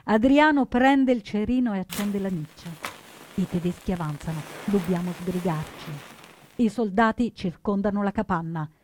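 A woman playing an earnest, proud young partisan who narrates a scripted stage drama with deliberate, dramatic phrasing. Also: mid-range voice, noisy background, natural voice. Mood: tense. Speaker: storyteller narrator.

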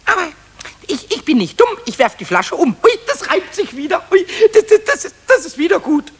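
A man playing a cheeky, tipsy little kobold in a 1970s children's radio play, childlike, boastful and excitable, stammering and breaking into sing-song rhyme. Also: very high-pitched, normal speed, full character voice. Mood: agitated, busy.

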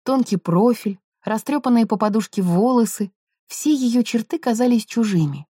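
A woman narrates a novel in a soft, mellow voice. The sound is dry, with very little room around it. Her delivery is scripted literary storytelling. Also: on the high side, lively intonation, normal speed, slightly rough, no echo. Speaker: audiobook narrator.

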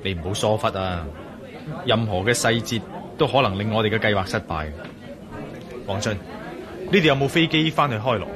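Radio drama lead: male actor as decisive adventurer, Hong Kong Cantonese, lowish voice, lively urgent intonation. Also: moderate pace, pauses often, crowd noise, natural voice. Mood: serious, firm. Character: determined, commanding male adventurer on an urgent mission.

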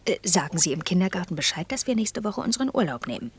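A woman's voice: lowish voice, big pitch swings, fast, husky, exaggerated voice. An actress playing a reserved, polite woman who acts out the part of wife and mother in an intimate radio drama. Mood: hesitant.

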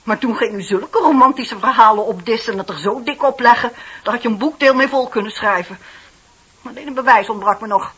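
An actress playing a stiff, haughty, indignant older office worker with a husky, rough voice in a radio play. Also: female voice, fairly high pitch, fairly quick, fairly bright tone. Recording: dull old radio play recording.

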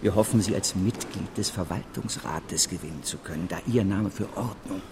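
An actor playing a driven, proud financial speculator in a German radio drama, urgent and persuasive. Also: male voice, sits low, moderate pace, noisy background. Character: driven, proud male financial speculator in 19th-century Paris.

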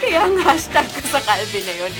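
A woman's voice: fairly high pitch, sing-song, moderate pace, over music. A woman playing a feisty, proud and cheeky female student in a radio drama. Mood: teasing, amused. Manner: spirited, cheeky.

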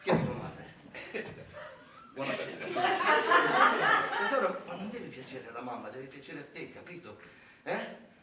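An actor playing a frazzled, short-tempered Santa Claus in a live comic stage monologue, coaxing sweetly and then shouting. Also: male voice, mid-range voice, sing-song, measured pace, pauses often. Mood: exasperated. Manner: sugary coaxing that tips into shouting. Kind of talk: live theatre comedy monologue. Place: theatre stage. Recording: distant, roomy live stage recording with noise.

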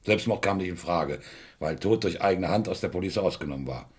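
Low, husky, shrewd police inspector. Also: male voice. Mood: shrewd, explaining. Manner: deliberate, probing, explanatory. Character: shrewd, methodical male British police inspector. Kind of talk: 1970s German radio crime play acting.

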